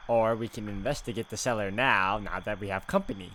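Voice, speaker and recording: A man's voice: lowish voice, a little fast, sharp tone, a little hiss or hum. A man reading a visual novel's narration aloud in a dramatic way, with sarcastic asides. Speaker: video game player reading narration aloud.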